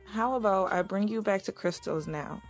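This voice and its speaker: A woman's voice: medium pitch, normal speed, lightly acted, rough recording. Actress as a caring young woman, music behind. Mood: playful. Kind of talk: audio drama dialogue.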